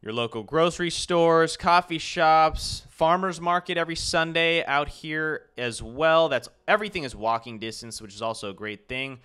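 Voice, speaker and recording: A man's voice: on the high side, lively intonation, moderate pace, few pauses, sharp tone, clear, resonant tone. A young man, a real estate agent, talking loosely through a map tour of a neighborhood with casual fillers and restarts.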